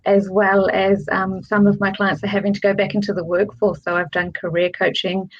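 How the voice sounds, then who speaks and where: A middle-aged woman speaks in a relaxed, unhurried way, often restarting her sentences. Her video call audio sounds dull and lo-fi, and her pitch sits in a middle range. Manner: relaxed, many fillers and restarts. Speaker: divorce and relationship coach. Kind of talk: casual panel talk.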